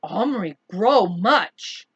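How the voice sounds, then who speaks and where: A woman's voice: mid-range voice, lively intonation, extremely slow, slightly rough, lo-fi sound, heavily acted. Woman reading as proud, terse tiny chief. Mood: proud, teasing. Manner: terse broken English, dignified boasting.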